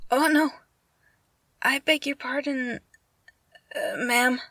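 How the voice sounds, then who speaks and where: A woman's voice: high-pitched, steady tone, takes it slowly, pushed and bright, a little hiss or hum, heavily acted. A woman playing a timid, polite young lady rescued from captivity, hesitant and deferential, in an audio roleplay.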